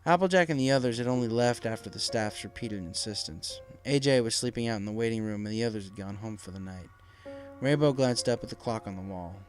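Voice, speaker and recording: A man's voice: on the low side, fairly quick. A man narrating a dramatic story from a script as an audiobook reader. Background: faint music.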